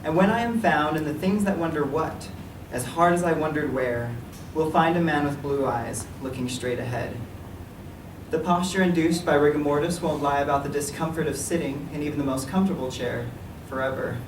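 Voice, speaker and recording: A man giving a measured, solemn reading of a literary piece before a live event. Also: fairly high pitch. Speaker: writer reading own work. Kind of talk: literary reading, dramatic monologue in verse. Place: public reading in a library room. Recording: echoey room, distant mic, clear noise.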